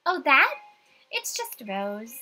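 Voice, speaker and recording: A woman's voice: sits high, very animated, slow pace, sharp tone, voice pushed up, full character voice, low-quality recording. A woman voicing a superstitious young female realtor, an earnest, slightly defensive visual novel heroine. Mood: matter-of-fact.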